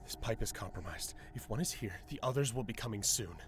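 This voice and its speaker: A man playing a strange, blunt underground thrall who speaks flatly with odd swings of pitch. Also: brisk, background noise, close to an everyday voice. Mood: grim. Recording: faint music behind.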